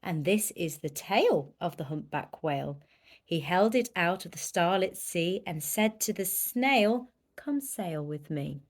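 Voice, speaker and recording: A woman's voice: lowish voice, a little slow. A woman reading a children's picture book aloud with dramatic, emphatic storytelling. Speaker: volunteer story reader.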